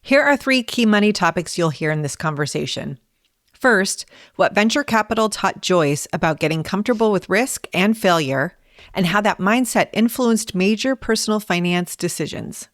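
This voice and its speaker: A woman co-hosting a podcast, reading an episode preview in a measured, clear way. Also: medium pitch, animated, no echo, studio quality. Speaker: podcast co-host.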